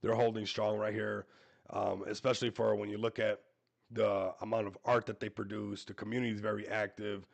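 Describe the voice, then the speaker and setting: A man hosting a casual crypto livestream, hoarse and losing his voice, hyping coins and calling out to viewers. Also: lowish voice, flat delivery, brisk. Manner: hype-driven, repeats "everybody", talks to viewers. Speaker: crypto show host.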